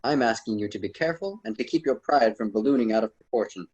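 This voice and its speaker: A man's voice: medium pitch, lively intonation, fast, a little mellow, slightly rough, dull audio. A man playing a wise, wordy poet mentor with a formal, didactic manner in an amateur student radio drama. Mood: firm advising.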